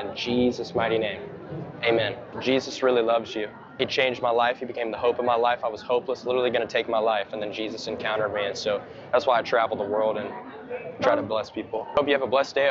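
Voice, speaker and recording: A young American man preaches casually and earnestly on the street, talking quickly. His voice is a little dulled by the recording, with clear crowd noise around him outdoors. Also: medium pitch, fairly even intonation. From Georgia, United States. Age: early twenties. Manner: earnest, informal. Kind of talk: casual street evangelism and spontaneous prayer. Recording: muffled outdoor clip with busy crowd. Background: crowd chatter.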